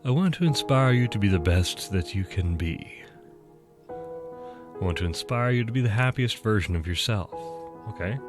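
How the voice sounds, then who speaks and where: A man with a stuffy nose voicing a polite, earnest male examiner in an office, over loud game music. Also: on the low side, animated, moderate pace, pauses often, lightly acted. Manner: courteous, earnest, insistent.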